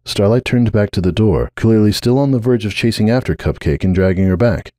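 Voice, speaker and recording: A man's voice: lowish voice, a little fast, mellow, no echo, clean studio sound. A man reading scripted dramatic story narration.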